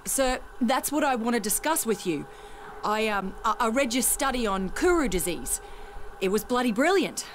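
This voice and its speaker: A woman's voice: on the high side, expressive, normal speed, slight background noise, lightly acted, poor audio. A woman playing a determined, polite survivor in a video game. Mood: pleading, polite.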